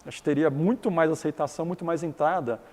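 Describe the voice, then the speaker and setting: A man's voice: fairly high pitch, fast talker, darkish tone, long reverb tail. A middle-aged man from Taiwan whose first language is Chinese, explaining his business in an interview, often restarting and repeating words.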